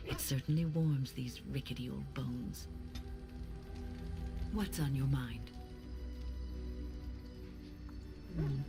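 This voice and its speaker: An elderly, kindly mage from a fantasy game. She speaks formally and with measure, like a counselor giving earnest advice, over clearly audible game music. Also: very deep voice, heavily acted. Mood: kindly, encouraging. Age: older. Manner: measured, formal, admonishing phrasing. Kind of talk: video game voice acting.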